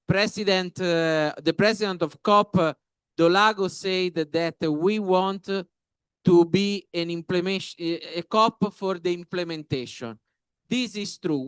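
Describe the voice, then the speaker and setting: A man with an Italian accent gives panel remarks in a smooth, rather high voice. He moves slowly, phrase by phrase, in halting but emphatic non-native English. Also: fairly bright tone, no echo. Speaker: professor, panel speaker.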